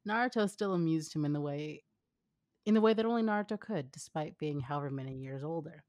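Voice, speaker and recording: A woman's voice: low voice, expressive, brisk, a little mellow, no room sound, clean studio sound. A young adult woman casually narrating fan fiction with banter, in a giggly, informal manner. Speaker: fan fiction reader and writer.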